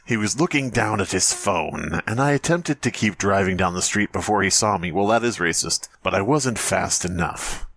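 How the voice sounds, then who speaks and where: A man with a slightly low, very animated voice co-hosts a comedy podcast. He moves between reading a story and joking about it, cursing in mock exasperation, recorded dry and close. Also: normal speed, few pauses, dry recording. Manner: swears freely, mock-exasperated, breaks into impressions. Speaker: podcast co-host.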